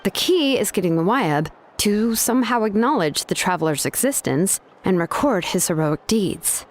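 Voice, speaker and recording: An actress playing a young master craftswoman in a video game, blunt and pragmatic, speaking with measured, hesitant exasperation. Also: medium pitch, expressive, noisy background, natural voice. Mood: pondering. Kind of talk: video game character dialogue. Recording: music behind the voice.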